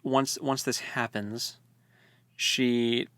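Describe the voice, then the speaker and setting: Male podcast co-host, mid-pitched, very dry recording, rambling informal book chat. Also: fairly even intonation, drawn-out words, a bit bright, clear, resonant tone, no echo. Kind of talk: casual book discussion.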